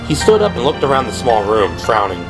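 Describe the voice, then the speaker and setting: A man's voice: mid-range voice, big pitch swings, a little fast, poor audio. A man reading scripted third-person narration for an audio drama. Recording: music behind the voice.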